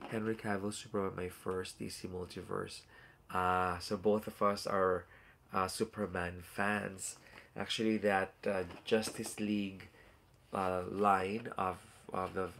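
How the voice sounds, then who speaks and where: A man in his forties talks in a casual, rambling way, full of fillers and side comments to the people watching. He sounds stuffed up and nasal, and his voice is low with a gravelly rasp. He is recorded on a phone or webcam at arm's length in a small room at home, with a faint hiss behind him. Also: fairly bright tone. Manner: rambling and chatty, full of fillers and asides to viewers. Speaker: toy collector hosting a live unboxing. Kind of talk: casual live-stream chat.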